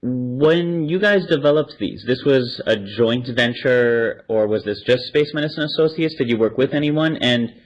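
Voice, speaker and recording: A man's voice: mid-range voice, normal speed, few pauses. Male podcast host on dull audio. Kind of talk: conversational interview hosting. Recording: dull, band-limited audio.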